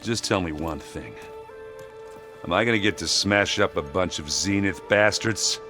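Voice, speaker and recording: A man's voice: low voice, unhurried, bright, forceful tone, soft background music. A man playing a blunt, hot-tempered and loyal warrior in a video game cutscene. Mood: eager. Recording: dialogue over game score.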